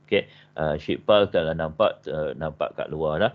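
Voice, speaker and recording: A man's voice: rumbling low, normal speed. An older man with a Malaysian Malay accent giving an online lecture, peppering his talk with "okey" and English technical terms. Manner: frequent "okey" fillers, mixes in English terms. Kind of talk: online lecture on basement construction. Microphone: webcam on a video call. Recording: dull video-call audio.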